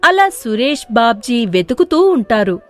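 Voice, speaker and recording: A woman's voice: fairly high pitch, big pitch swings, measured pace. A woman giving scripted storybook narration for a moral tale. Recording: music playing behind the voice.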